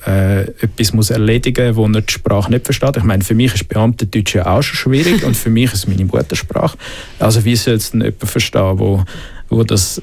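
A man with a Swiss German accent, a podcast guest who explains his faith in a thoughtful way, pausing often to search for words. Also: on the low side, vocal fry, a little mellow. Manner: thoughtful, explaining with many hesitations. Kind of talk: reflective faith talk.

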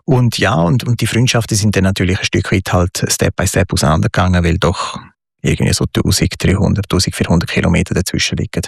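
A man's voice: deep voice, quick pace, gravelly, husky, no echo, clean studio sound. A man with a Swiss German accent, a guest telling his life story in an interview, thoughtful and hesitant with many fillers. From Switzerland.

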